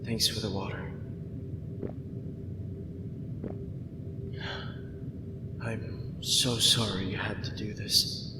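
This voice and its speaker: A man plays a young heir to a dark fantasy throne in an audio roleplay. He is dorky, swinging between pompous and awkwardly considerate, with slow delivery. The voice sits back from the mic in a long reverb, over noisy ambience. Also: fairly low pitch, flat delivery, lightly acted. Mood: gentle, apologetic. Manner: grandiose monologue giving way to awkward, hesitant talk. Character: dorky young dark prince, pompous when rehearsing, apologetic and kind. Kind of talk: scripted ASMR roleplay acting. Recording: echoey hall, distant, noisy ambience.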